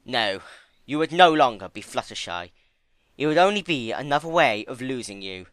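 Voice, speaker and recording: A man's voice: mid-range voice, very animated, normal speed, a bit bright, dry recording, voice pushed up. A man voicing a sardonic, pompous male trickster creature in a dramatic storybook reading, with a dry, mocking manner. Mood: grave, sincere. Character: sardonic, theatrical male draconequus, chaotic trickster. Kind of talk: dramatic fan fiction reading.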